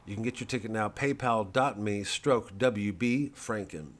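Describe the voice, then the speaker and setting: A middle-aged man with a fairly low voice talks casually, as a comedian promoting his own work would. He wanders off on tangents and circles back, halting often. The tone is wry and unpolished. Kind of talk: rambling casual talk to camera.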